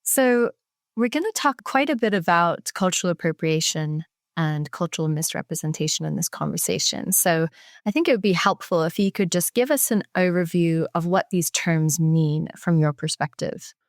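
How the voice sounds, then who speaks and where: A woman hosting a podcast, asking interview questions in a measured, warm way. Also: lowish voice, dry recording, studio quality. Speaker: podcast host.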